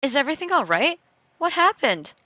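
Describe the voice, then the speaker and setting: A woman playing a caring, anxious, gentle mother in a dramatic story reading. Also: high voice, very animated, a little fast, no echo, highs cut off, pitched above the performer's own voice, heavily acted. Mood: worried.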